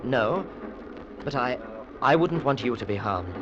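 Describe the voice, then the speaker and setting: A man's voice: mid-range voice, expressive, measured pace, dull audio, noisy background, natural voice. An actor playing an earnest, flustered young Englishman with an English accent, genteel and polite in 1940s radio drama acting. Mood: eager. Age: young adult.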